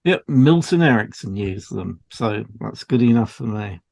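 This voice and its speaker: A man's voice: on the low side, animated, normal speed, creaky, darkish tone. A man co-hosting an educational podcast, reading scripted material in an explanatory style. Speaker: podcast co-host, hypnotherapist.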